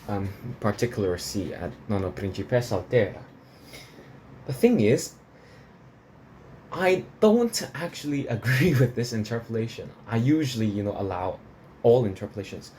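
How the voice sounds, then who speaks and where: A man's voice: fairly low pitch, expressive, moderate pace, dark tone, slight background noise. A man from Hong Kong reviewing opera recordings in a rambling, casual way, full of restarts and fillers. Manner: rambling, many restarts and fillers, laughs between points.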